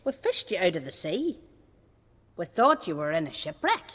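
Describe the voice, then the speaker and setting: A woman's voice: on the low side, big pitch swings, moderate pace, a bit bright, breathy edge, in a large hall, heavily acted. A woman playing a fretful, kind middle-aged village mother in a video game, speaking in a motherly, folksy way. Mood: gently chiding, curious.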